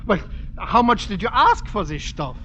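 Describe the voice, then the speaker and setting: An actor playing a jolly, teasing German immigrant friend with a German accent, exclaiming and mock-scolding in a radio comedy. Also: male voice, high voice, big pitch swings, moderate pace, pushed and bright, exaggerated voice. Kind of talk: 1950s radio situation comedy acting. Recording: muffled, very noisy old radio broadcast.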